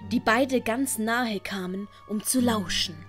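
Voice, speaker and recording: A woman's voice: a little high, measured pace. Woman narrating over music. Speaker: audiobook narrator. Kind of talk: scripted story narration.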